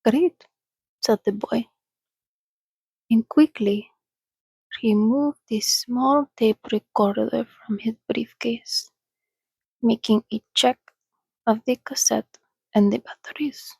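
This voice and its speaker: A woman reading a story aloud in a soothing, breathy, soft-spoken ASMR style, often slipping into a whisper, close to the microphone. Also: fairly high pitch, fairly even intonation, dry recording, poor audio. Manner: soothing, unhurried. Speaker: reader.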